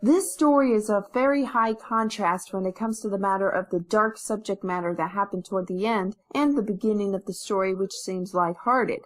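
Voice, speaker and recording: A woman's voice: medium pitch, moderate pace, hardly any pauses. A woman voice actor giving an informal story review in earnest, long rambling sentences. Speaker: amateur voice actor.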